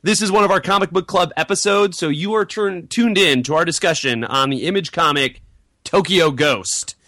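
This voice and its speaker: A male podcast host opens the show with a bright, forceful tone and a rather high voice. His delivery is jokey and upbeat, recorded dry and close to the mic. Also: moderate pace, dry recording. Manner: jokey, upbeat, laughs often. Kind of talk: casual podcast chat.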